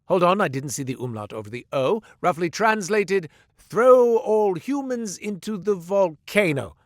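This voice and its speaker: An actor playing a sly, smooth-talking male merchant who spins tales while selling gear, in a game. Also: fairly high pitch, dry recording, studio quality. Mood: wry. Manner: theatrical, salesman patter, wry. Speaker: shopkeeper narrating a tale. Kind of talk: studio game voice lines. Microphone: close studio mic.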